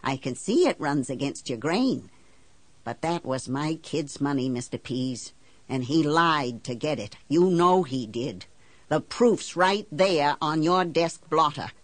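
A woman's voice: low voice, lively intonation, measured pace, dry recording. Woman acting a blunt, embittered island housewife, wildly swooping. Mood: insistent, pleading. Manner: folksy, blunt, indignant, ungrammatical country talk. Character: blunt, embittered working-class island housewife telling her story. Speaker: audiobook narrator. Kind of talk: first-person storytelling in character.

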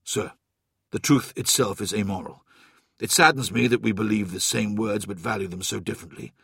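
Man playing a low, calm, gravelly warrior captain. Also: no echo, studio quality, full character voice. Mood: calm, reasoning. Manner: measured, quiet, firm.

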